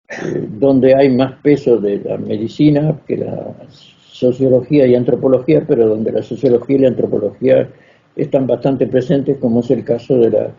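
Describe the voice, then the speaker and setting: A man answering a question at a conference, speaking in a hesitant, halting way with many fillers and restarts. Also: mid-range voice, vocal fry, mellow. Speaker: invited lecturer answering questions. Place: lecture hall. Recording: dull lo-fi sound.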